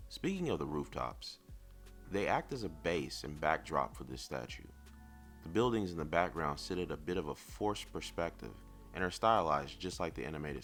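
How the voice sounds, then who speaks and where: A man reviewing collectibles in semi-scripted narration, speaking in a measured, articulate and lightly wry way over background music. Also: very deep voice, fairly bright tone.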